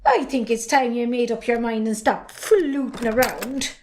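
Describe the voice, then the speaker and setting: A woman acts an independent young Irish daughter in an amateur family drama. Her voice is clearly high, and she speaks up assertively, chiding those around her. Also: a little slow, darkish tone, a little hiss or hum, close to an everyday voice. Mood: teasing, chiding. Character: outspoken, independent young adult farmer's daughter. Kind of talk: amateur stage drama acting.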